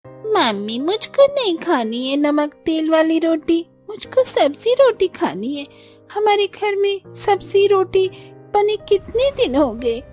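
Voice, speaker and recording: Stubborn little cartoon girl, whiny, very high-pitched adult woman performing. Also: big pitch swings, slow pace, darkish tone, soft background music, exaggerated voice. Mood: whiny, hungry. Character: little hungry daughter Pinky, whiny and stubborn. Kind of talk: Hindi cartoon story acting.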